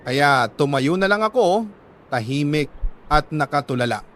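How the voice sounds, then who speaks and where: A Filipino man reads a first-person letter as dramatic scripted narration, in short, measured phrases. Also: a little high, expressive, a bit bright.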